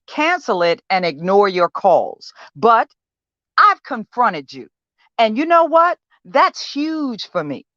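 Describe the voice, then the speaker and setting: A woman's voice: on the high side, pushed and bright, dry recording, muffled recording, full character voice. A woman playing a desperate, depressed woman confronting her therapist in a pointed, emphatic dramatic audition monologue. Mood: fed up, resolute.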